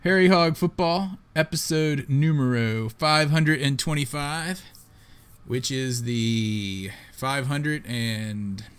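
A man's voice: medium pitch, slow, clean tone. A man co-hosting a sports podcast, teasing his partner and laughing often in casual chat. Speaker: podcast co-host.

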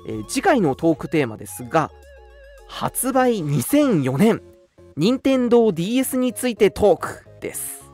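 A man's voice: high voice, big pitch swings, normal speed, a little mellow, faint music behind. A young man hosting a casual radio show, chatting politely with some self-deprecation. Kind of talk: casual radio chat and announcements.

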